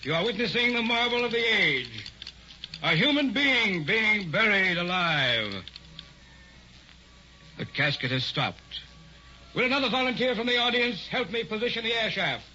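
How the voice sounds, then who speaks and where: A scheming carnival hypnotist, working the crowd with oily, theatrical barker patter. His voice sits a little high for a man. The sound is dull and old, with music running underneath. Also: lively intonation, normal speed, pauses often, sharp tone, heavily acted. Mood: showman. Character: smooth sinister male carnival hypnotist. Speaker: carnival showman. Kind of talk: 1940s radio drama acting.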